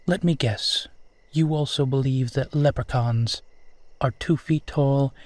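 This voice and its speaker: A man playing a proud, amused centuries-old vampire in a roleplay audio, speaking in a measured, theatrical, formal and condescending way. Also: mid-range voice, a little mellow, no echo, lightly acted. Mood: amused, chiding. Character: suave 450-year-old male vampire guiding a lost stranger, proud, amused. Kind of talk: slow dramatic roleplay audio monologue.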